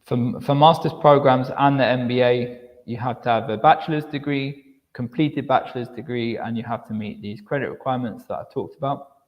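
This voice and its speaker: Man, mid-pitched voice, admissions presenter, explanatory, repeats words, long hall reverb. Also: brisk, few pauses, highs cut off. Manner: explanatory, repeats words while restarting, says okay often. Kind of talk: live online information presentation.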